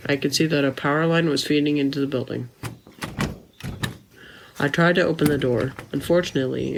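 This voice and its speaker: A man's voice: on the high side, slight background noise, natural voice, rough recording. A man playing an earnest, easily irked boy who narrates his adventure as a scripted podcast story. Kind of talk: scripted first-person storytelling for a family podcast.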